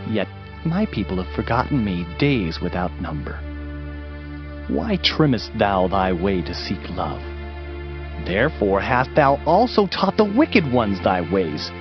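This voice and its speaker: A man narrating a dramatized scripture reading in a solemn, declamatory manner. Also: mid-range voice. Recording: dull band-limited audio, music behind. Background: music.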